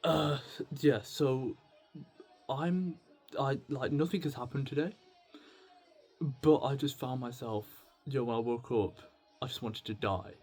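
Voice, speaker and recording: A young British man talking casually to camera in a confessional vlog, rambling, halting and self-deprecating. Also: mid-range voice, a little mellow, slightly rough, faint music behind. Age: young adult. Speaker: video blogger. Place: indoors at home with music on in the background.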